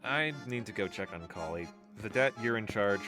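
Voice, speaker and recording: Man acting a bright-voiced, exasperated young leader. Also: on the low side, expressive, moderate pace, soft background music. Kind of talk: audio drama acting.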